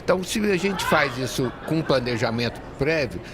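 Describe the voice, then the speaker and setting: A man's voice: medium pitch, expressive, moderate pace. Middle aged guy w explaining earnestly, noisy fair behind him. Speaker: real estate industry association leader, podcast guest. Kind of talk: conversational interview answers. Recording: busy trade fair background noise. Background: urban fair ambience.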